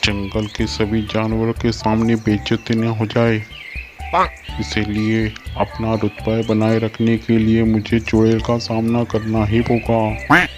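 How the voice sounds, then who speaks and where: A man voicing a boastful but secretly cowardly lion king in an animated moral story, with a pompous, blustering manner. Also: on the low side, takes it slowly. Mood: anxious. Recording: music behind the voice.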